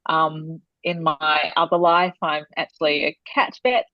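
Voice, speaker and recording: Brief: Australian woman author guest, low-ish pitch, dry lo-fi remote audio, casual and giggly. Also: little pitch movement, a little slow, no room sound. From Australia, born in Malaysia. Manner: chatty, giggly, lots of "yeah" and "like". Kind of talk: casual video-call interview.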